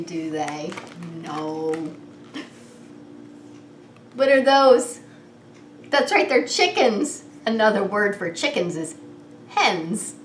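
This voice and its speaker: High-voiced woman leading preschool story time. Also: a bit bright. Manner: addresses children directly with simple questions; singsong, playful. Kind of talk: children's story time with read-aloud and singsong. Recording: roomy echo, clear background noise.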